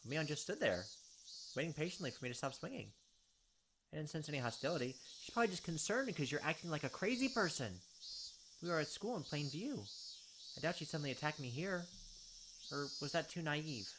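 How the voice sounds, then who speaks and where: A man's voice: a little high, animated, a little fast, long pauses, noisy background. A man reading a visual novel's text aloud in a let's play, adding joking asides.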